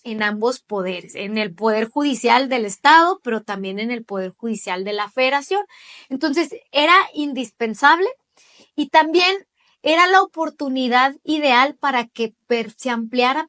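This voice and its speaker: Woman, late 30s, Mexican accent, slightly high voice, emphatic explainer in an interview. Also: fairly bright tone. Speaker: interviewee, judicial candidate.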